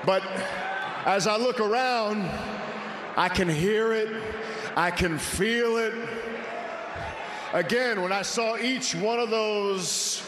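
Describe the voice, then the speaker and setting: A man in his forties cutting a cocky, shouted wrestling promo on a handheld mic in a large indoor arena. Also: high-pitched, takes it slowly, long pauses. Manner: shouting, drawling, cocky swagger. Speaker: pro wrestler. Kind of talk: wrestling promo to a live crowd. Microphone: handheld microphone. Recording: echoey arena, crowd noise.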